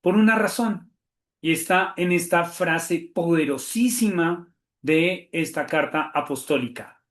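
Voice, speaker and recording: A man with a Colombian Spanish accent, a theology professor hosting a webinar, talking quickly and animatedly with frequent fillers and restarts. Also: fairly high pitch, roomy sound. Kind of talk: webinar presentation.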